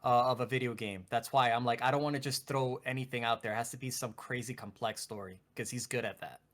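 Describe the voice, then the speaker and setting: A man chatting casually about games and movies as a podcast co-host, in an excitable, emphatic, slangy way. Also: mid-range voice, quick pace. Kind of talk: casual video game and movie chat.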